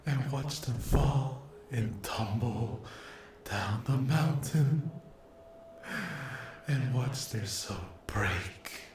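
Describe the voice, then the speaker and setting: A man voicing a cruel, possessive demon in an ASMR roleplay, murmuring and whispering breathily with sweet menace. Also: medium pitch. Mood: sadistic delight. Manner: breathy, drawn-out, sadistic, gloating endearments. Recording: roomy echo with clear background noise.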